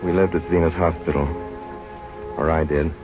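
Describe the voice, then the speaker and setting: An actor playing an earnest, intense young medical student with a brisk, excitable manner in an old-time radio drama. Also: male voice, rumbling low. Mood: reflective narration. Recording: muffled vintage radio broadcast recording. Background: music.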